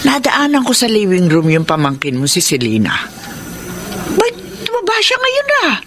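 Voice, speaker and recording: Elderly Filipino woman, gossipy, radio drama, very expressive pitch, bright tone, slight noise behind. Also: normal speed. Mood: gossipy, curious. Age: older. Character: chatty elderly woman, gossipy, fond of the mayor.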